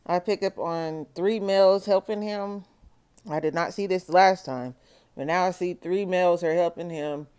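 A woman doing a solo tarot reading for her viewers, talking slowly and checking in with "okay?". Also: on the low side, a little mellow, smooth. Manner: slow, measured, repeats "okay?" to viewers. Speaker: tarot reader.

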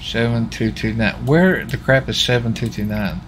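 A man with a mid-pitched voice chats casually in an online roleplay as a lawman. He rambles excitably and circles back on his words, with some vehicle noise behind him. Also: normal speed. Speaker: streamer roleplaying as a US Marshal. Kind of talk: casual in-game roleplay chat. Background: game car and city sounds.

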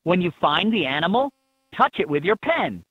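A woman's voice: fairly low pitch, sing-song, moderate pace, slightly rough, dry recording. A woman voicing an encouraging instructor in a children's animal game, giving scripted instructions in slightly dull game audio. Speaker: educational game voice.

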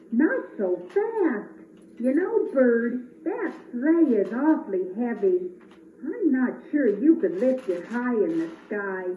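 An earnest little elf, voiced by a woman frets kindly in a high, lively singsong. It comes through tinny, from across an echoing room. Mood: tactful. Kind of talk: children's puppet show. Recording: echoey, tinny, distant camera, faint noise.

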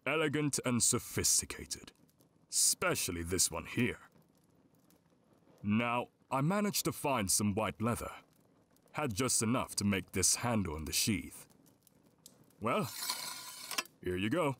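A man as kindly older blacksmith, low animated voice, folksy, proud, audio drama. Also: measured pace, long pauses, full character voice. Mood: proud, friendly. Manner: folksy, leisurely, addressing the listener as kid.

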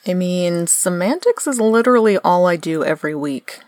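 A woman's voice: lowish voice, animated, moderate pace, a little mellow, no room sound, clean studio sound, lightly acted. A woman playing a sardonic, exasperated scheming show host in a comedic radio play. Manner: sarcastic, grumbling.

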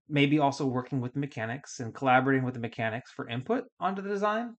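A man in his thirties hosting a solo podcast, explaining things in a casual, step-by-step way with fillers and restarts, recorded at a studio mic in a small foam-panelled room. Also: medium pitch. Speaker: podcast host.

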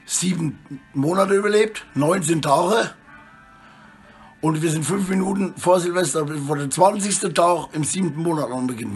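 A middle-aged man with a husky voice rambles casually and coarsely in slang, talking to his phone at arm's length indoors. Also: fairly high pitch. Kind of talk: rambling casual selfie monologue. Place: small indoor room at home.

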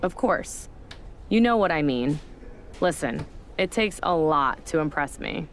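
A woman's voice: sits low, animated, a little slow, slight background noise. A woman voicing a blunt, pushy recruiter in a video game. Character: demanding female wrestling recruiter.

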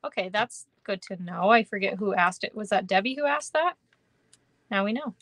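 A woman's voice: medium pitch, animated, moderate pace, fairly bright tone, no echo. Chatty, giggly Minnesotan woman crafter. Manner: chatty, giggly, lots of ums and kindas. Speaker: card-making instructor. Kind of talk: live stream craft demo, chatting with viewers. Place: indoor craft room.

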